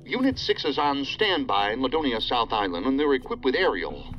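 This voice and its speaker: A dutiful male task force agent reporting to a superior in a radio play, filtered as if over a lo-fi line. He is formal and guarded, yet his pitch moves a lot. Faint music runs behind. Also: big pitch swings, fairly quick. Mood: deferential, guarded. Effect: radio or phone line filter. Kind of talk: audio drama acting. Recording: dull band-limited call line, music underneath.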